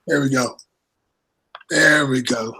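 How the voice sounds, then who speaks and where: Casual co-host, man, husky and gravelly, rambling delivery. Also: mid-range voice, fairly even intonation, takes it slowly, sharp tone. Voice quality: raspy voice. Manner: rambling, repeats words, many fillers. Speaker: co-host and moderator. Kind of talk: casual livestream chat.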